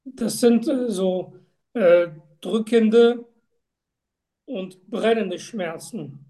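Slow, matter-of-fact woman answering as patient. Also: medium pitch, dark tone. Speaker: simulated patient in medical exam practice. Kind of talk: role-played patient answers.

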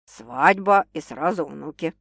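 A woman reader puts on a serious, worried man from a romance audiobook. The voice is lowered and heavy, with slow, halting phrases. The sound is dry and close. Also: dry recording, lightly acted. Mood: soft, tender. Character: gruff, anxious, shocked man in love, expectant father.

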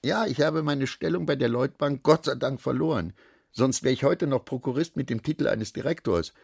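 A man's voice: mid-range voice, expressive, moderate pace, creaky, a little mellow, husky, dry recording, clean studio sound. An actor playing a garrulous, self-satisfied middle-aged businessman in a radio drama, chatty and given to philosophizing. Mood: agitated, confiding.

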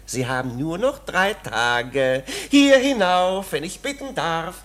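An actress playing a mocking, ominous court attendant in a radio play, sardonic and mock-courteous. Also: female voice, lowish voice, lively intonation, slow pace. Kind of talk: German radio play acting. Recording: faint background noise.

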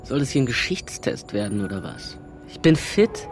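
An actor playing a sarcastic, impatient young slacker in a German science fiction radio play, with a flippant, slangy delivery. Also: male voice, medium pitch, very animated, moderate pace, over music. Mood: irritated, flippant. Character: Jeremy, sarcastic impatient slacker young man trapped in simulation.

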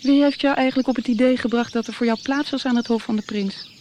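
A woman's voice: on the high side, fairly even intonation, quick pace, darkish tone, slight background noise, close to an everyday voice. Actress as shrewd, probing woman. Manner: casual, probing. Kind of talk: radio drama dialogue.

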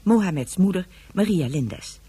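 A woman's voice: lowish voice, lively intonation, moderate pace, mellow, husky, dry recording. A woman formally reading a scripted closing announcement as an announcer for a radio drama.

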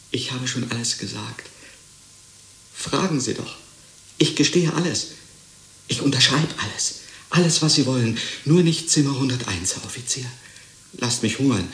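Shrill-edged, terrified begging prisoner. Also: male voice, a bit bright. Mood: pleading, desperate. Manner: pleading, repetitive, rising to hysteria. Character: terrified, broken male prisoner, frantically begging and betraying. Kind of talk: radio play acting. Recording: echoey room, faint noise.

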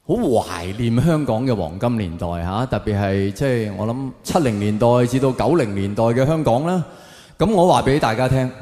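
A man performs stand-up in colloquial Hong Kong Cantonese, tossing tag questions at his audience. His mid-pitched voice rings through a large hall with heavy echo and a long tail of reverb. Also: darkish tone. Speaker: stand-up comedian on stage. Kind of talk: stand-up comedy monologue to a live audience.

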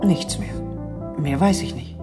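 A woman's voice: low-pitched, lively intonation, a little slow. A woman playing an elegant client calling a detective by videophone in a radio play. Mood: uncertain. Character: elegant, forgetful female client, a war reporter, on videophone. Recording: long reverb, music behind.

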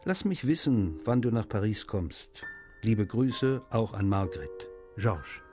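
An actor playing a male writer, reading in a measured, literary way for a radio feature. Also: on the low side, big pitch swings, over music. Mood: friendly, content. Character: French male writer reading his friendly letters aloud.

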